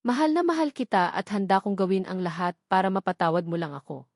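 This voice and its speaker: A woman's voice: medium pitch, fast, darkish tone, no room sound, close to an everyday voice. Female narrator as desperate former girlfriend in a romance audiobook, pleading woman, dry close sound.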